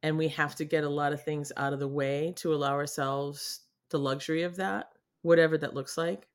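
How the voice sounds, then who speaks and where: A woman's voice: low voice, fairly even intonation, normal speed. A middle-aged woman from New England with a faint Boston accent, a guest on a video-call interview, talking animatedly with frequent laughs and restarts. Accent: Boston, mostly lost. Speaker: guest artist. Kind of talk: casual interview chat. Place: home studio in front of her paintings.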